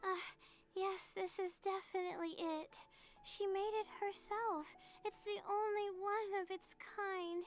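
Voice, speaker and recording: An anxious, timid young student with a very high, girlish voice for an anime-style game. She talks slowly and haltingly, always sounding apologetic and unsure, over faint music. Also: steady tone. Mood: confused.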